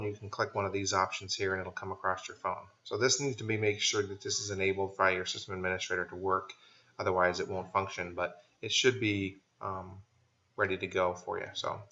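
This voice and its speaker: An American man giving an informal, plain-spoken software tutorial as a voice-over. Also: fairly low pitch. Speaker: software trainer. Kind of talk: casual screen-share tutorial narration. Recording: dull lo-fi voice-over.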